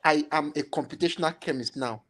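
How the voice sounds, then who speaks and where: A man's voice: on the high side, a little fast, fairly bright tone. A man with a Nigerian accent speaking as a guest in a panel discussion, emphatic and often repeating his phrases. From Cameroon, Nigerian parents. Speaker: computational biochemist, panel guest.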